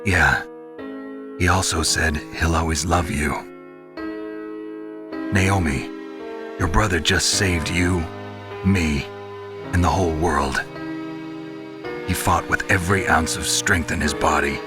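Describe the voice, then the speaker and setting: An actor playing a stoic, world-weary covert soldier in a video game, with terse, dry and solemn delivery. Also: male voice, low voice, fairly even intonation, slow pace, long pauses. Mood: solemn, consoling. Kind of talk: dramatic video game acting. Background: music.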